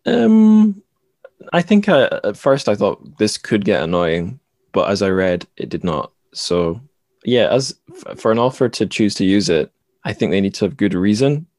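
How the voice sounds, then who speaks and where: Low-voiced young man hosting, dry close sound. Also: very animated, moderate pace, darkish tone, no echo. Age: 20s. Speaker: podcast host, interviewer. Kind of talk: casual book discussion.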